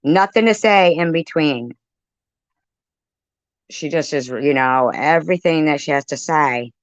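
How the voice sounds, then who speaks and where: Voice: medium pitch, a little slow, no echo. An indignant commentator giving off-the-cuff reactions, swearing and repeating phrases for emphasis. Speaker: commentator reacting to a played livestream clip. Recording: dull lo-fi sound.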